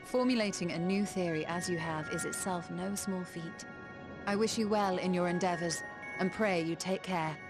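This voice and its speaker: An actress playing a composed, caring young heroine in a fantasy video game, with formal, old-fashioned phrasing. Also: female voice, fairly low pitch, moderate pace, natural voice. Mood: warm, sincere. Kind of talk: scripted video game voice acting. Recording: background music under the voice.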